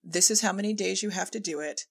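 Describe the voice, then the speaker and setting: A woman's voice: medium pitch, fast, gravelly, breathy edge. A woman in a casual conversational discussion as a podcast guest, speaking emphatically and repeating phrases for stress. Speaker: podcast guest, audio drama director and writer.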